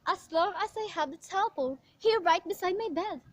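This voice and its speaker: Radio play invalid wife, played by a woman: extremely high voice, anxious, imperious, insistent and pleading. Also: lively intonation, brisk, no room sound. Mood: fretful, insistent. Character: anxious, demanding bedridden wife, self-pitying and imperious. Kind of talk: amateur radio play acting.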